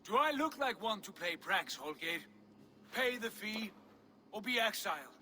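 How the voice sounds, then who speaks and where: An actor playing a stern, imperious Viking jarl who gives commanding, emphatic pronouncements in a video game. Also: male voice, high-pitched, very animated, measured pace, sharp tone, a little hiss or hum, exaggerated voice. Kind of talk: dramatic video game acting.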